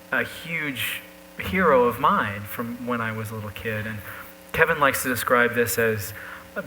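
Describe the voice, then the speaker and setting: Very bright, sharp-voiced man telling stories. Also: medium pitch. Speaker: panel guest, illustrator. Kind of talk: casual anecdote on stage. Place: live talk event stage. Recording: noisy live recording with hum.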